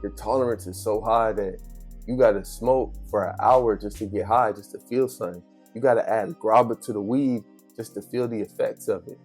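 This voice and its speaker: A man's voice: fairly low pitch, steady tone, normal speed, darkish tone, breathy edge, soft background music. A man in his thirties giving an unscripted motivational monologue, speaking emphatically in street slang, addressing the listener directly and repeating phrases to drive them home. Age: 30s. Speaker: vlogger giving a motivational talk.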